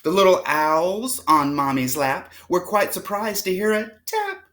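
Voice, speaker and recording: A woman reading a rhyming picture book aloud to children with expressive, dramatic storytelling. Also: fairly low pitch, a little slow. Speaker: storybook reader.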